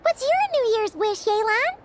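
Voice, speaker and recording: A woman voicing a small, excitable, naive fairy companion from a video game, with a squeaky childlike voice. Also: unhurried. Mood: curious, chatty. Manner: exclamatory, refers to self in third person. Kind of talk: video game character voice acting.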